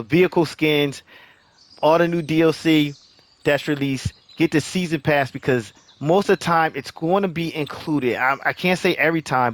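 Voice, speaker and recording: A man with a slightly high-set voice talks through game news in a casual host style. His delivery is halting, with phrases restarted and repeated, and he speaks encouragingly straight to viewers. The sound is dry, with very little room in it. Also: a bit bright, no echo. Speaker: gaming channel host. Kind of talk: casual video game update commentary.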